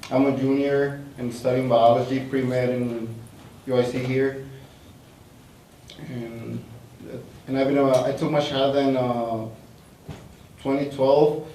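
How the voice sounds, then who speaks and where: A young man from Argentina, a student, introducing himself in a measured way. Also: medium pitch, flat delivery, dark tone, a little hiss or hum. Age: young adult. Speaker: student panelist. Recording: very echoey hall, far mic.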